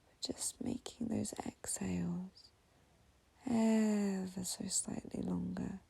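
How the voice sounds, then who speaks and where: Woman doing guided meditation, super breathy n husky, lots of creaky fry, slow n gentle w long pauses. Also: mid-range voice, fairly even intonation. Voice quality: breathy, husky, half-whispered.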